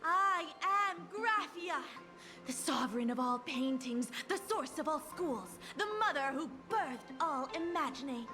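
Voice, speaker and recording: Game role: regal goddess, high, slow, grandiose, music behind. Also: female voice, expressive. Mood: proud. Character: stately painted goddess, female, regal and self-proclaimed. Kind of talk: video game voice acting.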